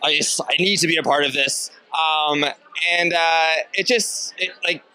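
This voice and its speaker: A man's voice: fairly high pitch, unhurried, sharp tone, smooth, poor audio. A young man from Massachusetts, an actor, chatting in a laid-back, hesitant way full of fillers. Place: film festival red carpet, indoors. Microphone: handheld interview mic.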